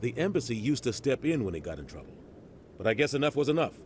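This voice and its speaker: An actor voicing a seasoned, pragmatic military officer in a video game, explaining with measured authority. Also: male voice, medium pitch, big pitch swings, a little hiss or hum, lightly acted. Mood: pragmatic, firm. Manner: matter-of-fact, authoritative explaining.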